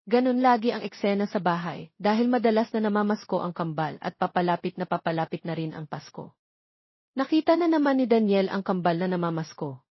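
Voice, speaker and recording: Female storyteller, scripted romance narration, mid pitch, dry, muffled lo-fi audio. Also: no room sound.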